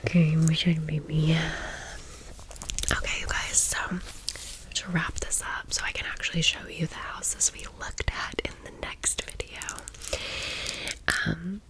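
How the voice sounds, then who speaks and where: A woman whispers in a chatty ASMR storytelling style. Her delivery is slow and unhurried, with a low-set voice beneath the whisper. She sounds conversational and gushing, as if confiding in friends. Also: level tone, poor audio. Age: 30s. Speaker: ASMR vlogger.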